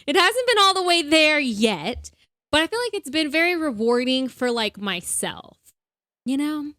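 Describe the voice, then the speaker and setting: A young woman chats away on a podcast, bubbly and loose with fillers. Her voice sits a little high. The sound is close and dry, with no room around it. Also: very animated, measured pace, pushed and bright, no room sound. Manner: chatty, bubbly, many fillers. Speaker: podcast co-host. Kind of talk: casual chat.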